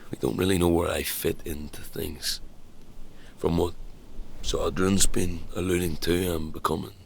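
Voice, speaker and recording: A man's voice: sits low, level tone, measured pace, creaky, a little hiss or hum. An actor playing an uneasy, self-deprecating man in a fantasy audio drama, stammering and searching for words. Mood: uneasy, confiding. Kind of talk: audio drama acting, naturalistic dialogue.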